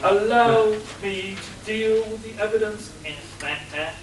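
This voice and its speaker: A man playing a long-suffering butler in an amateur radio play, formal and deadpan. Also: high-pitched, measured pace. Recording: roomy, distant, audible hiss.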